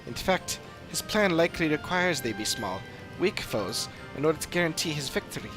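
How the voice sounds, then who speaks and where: A man voicing a measured, formal investigator in fantasy game dialogue, speaking deliberately. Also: fairly high pitch, lively intonation, music in the background, close to an everyday voice. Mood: analytical. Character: measured fantasy investigator piecing clues together. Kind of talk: scripted game dialogue reading.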